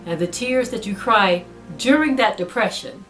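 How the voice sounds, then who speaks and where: Earnest woman preaching over soft music. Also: medium pitch, animated. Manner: earnest, exhorting, slow and emphatic with rising intensity. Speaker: online preacher. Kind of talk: spoken sermon to a video audience.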